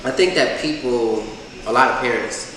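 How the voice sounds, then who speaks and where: Casting: young rapper guest, rambling and laid-back, far from the mic in a roomy, reverberant space with background noise. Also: male voice, mid-range voice, moderate pace, fairly bright tone. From Atlanta, USA. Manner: loose, rambling, heavy use of filler phrases. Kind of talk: casual interview chat. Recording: echoey room, far mic, noisy.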